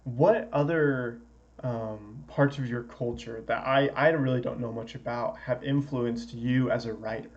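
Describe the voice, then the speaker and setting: A man's voice: medium pitch, steady tone, a little mellow, dull audio. A man hosting an interview, chatting casually and laughing easily as he asks questions. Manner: friendly, laughs easily, quick agreeing yeahs. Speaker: interview host.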